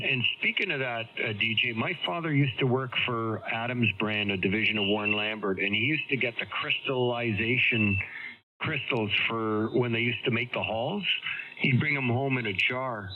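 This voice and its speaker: A man with a head cold chats casually over amateur radio, explaining things in a rambling way. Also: medium pitch. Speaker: amateur radio operator on a group call. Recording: noisy, dull ham radio transmission.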